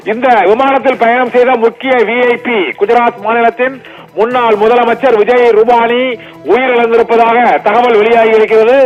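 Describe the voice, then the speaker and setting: A man presenting live breaking news in a halting, repetitive, improvised delivery. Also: high voice. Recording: dull lo-fi broadcast with music behind. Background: background music.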